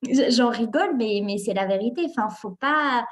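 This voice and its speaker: A young woman from Morocco answers interview questions with lively energy. She laughs often and fills her sentences with hesitations and quick restarts. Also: fairly high pitch. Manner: animated, laughs often, many fillers and restarts. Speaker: guest entrepreneur.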